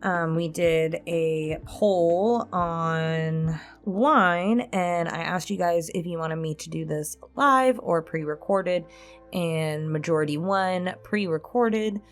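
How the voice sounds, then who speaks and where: A woman's voice: fairly low pitch, slow, soft background music. A woman chatting casually and informally to her viewers as a host, thinking aloud as she goes. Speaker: host, roleplay ASMR voice actor. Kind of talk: casual chat to viewers in a pre-recorded Q&A.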